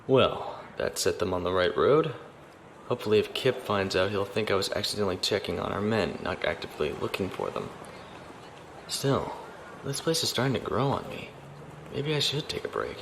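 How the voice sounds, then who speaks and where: A man's voice: on the low side, lively intonation, normal speed, gravelly, background noise. An actor playing a stiff, formal young male naval officer who speaks properly and reservedly.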